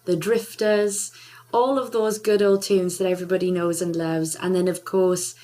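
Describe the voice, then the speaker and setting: A woman's voice: lowish voice, fairly even intonation, normal speed, dark tone. Bubbly woman singer, laughs often. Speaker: singer and charity ambassador. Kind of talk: casual interview chat.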